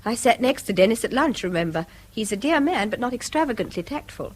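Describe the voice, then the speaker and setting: An actress playing a weary, self-deprecating middle-aged English wife in a radio drama, with a dry, exclamatory delivery. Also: female voice, a little high, lively intonation, brisk. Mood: self-deprecating, dejected. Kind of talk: British radio drama acting.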